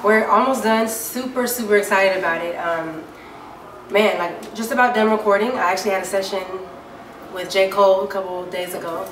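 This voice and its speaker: A woman singer giving a casual interview, talking in a deep, low speaking voice with many easygoing fillers. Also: normal speed. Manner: relaxed and chatty, many fillers like "um" and "you know". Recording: echoey room, mic far off, clear background noise.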